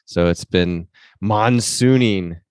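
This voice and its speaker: A man's voice: sits low, slow pace, a little mellow, clear, resonant tone. A man co-hosting a podcast over a video call, asking questions in an easygoing way. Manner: easygoing, brief replies. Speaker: podcast co-host. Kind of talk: casual podcast interview.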